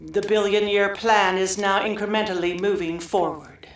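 A woman playing a wise alien mentor who talks like an exacting, gently scolding professor in a radio drama. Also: mid-range voice, fairly even intonation, normal speed, a little hiss or hum, natural voice. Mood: wise, serene. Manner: precise, schoolmistressy, gently scolding. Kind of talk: science fiction radio drama acting.

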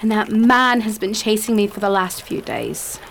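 A woman's voice: medium pitch, lively intonation, normal speed, creaky, slight background noise. A woman playing a timid, distressed young lady in radio theatre, speaking earnestly and politely. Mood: anxious, distressed.

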